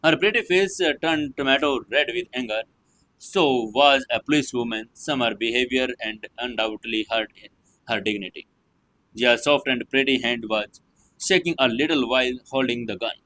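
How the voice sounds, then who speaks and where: Bright-voiced man narrating a serial story. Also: mid-range voice, normal speed, low-quality recording. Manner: non-native English with slips and hesitations. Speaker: audio story narrator and channel host. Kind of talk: dramatic scripted narration of a serial novel.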